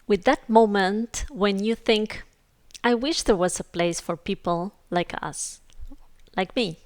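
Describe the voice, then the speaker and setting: A woman narrates as a podcast host in a scripted, reflective style. Her delivery is slow and measured, at an average pitch.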